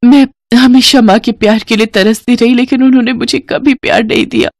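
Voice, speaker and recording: A woman's voice: fairly high pitch, steady tone, brisk, dry recording, voice pushed up. A woman voicing a timid, emotional young bride in a cartoon story, with a plaintive manner. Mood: sad.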